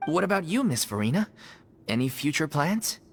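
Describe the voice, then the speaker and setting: A woman's voice: low-pitched, sing-song, normal speed. A woman voicing a blunt, dry-witted troupe member in a video game, with a teasing, matter-of-fact delivery. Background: game music.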